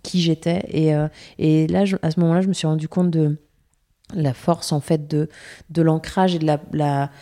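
Young woman, singer from Brittany; low, soft, warm tone; halting, searching speech. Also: level tone. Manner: hesitant, many fillers and restarts. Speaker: singer being interviewed. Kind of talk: casual interview answers.

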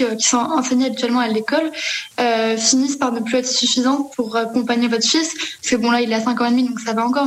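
A woman's voice: fairly high pitch, slight background noise, rough recording. A woman interviewer asking conversational questions politely, with formal address and tentative phrasing.

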